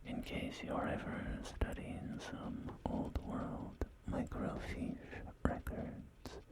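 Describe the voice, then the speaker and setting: Slow whispering man, ASMR roleplay. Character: polite, helpful real estate agent in a post-nuclear wasteland. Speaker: ASMR roleplayer playing a real estate agent. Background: loud music and game audio.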